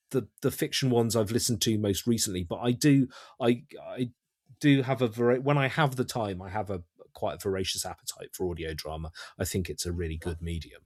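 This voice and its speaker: British male interviewee, lowish voice in a dry recording, thinking aloud in halting, conversational phrases. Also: a little mellow, no echo. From United Kingdom. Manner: hesitant, halting, repeating words as he thinks. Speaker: writer-director guest.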